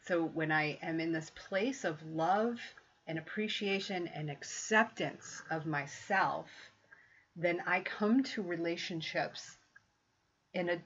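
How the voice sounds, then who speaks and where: A woman in her fifties co-hosting a casual, heartfelt talk, reflective and quick to laugh. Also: lowish voice, a bit bright, slightly rough. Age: 50s. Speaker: podcast co-host. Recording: dull lo-fi recording.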